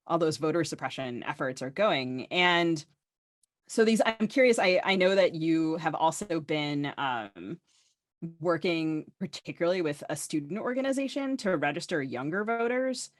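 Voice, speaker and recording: A woman's voice: low-pitched, expressive, moderate pace, no room sound, studio quality. A woman hosting a podcast, chatting casually in a rambling, wry way as she tells stories and leads up to questions. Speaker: podcast host. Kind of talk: casual conversational storytelling and questioning.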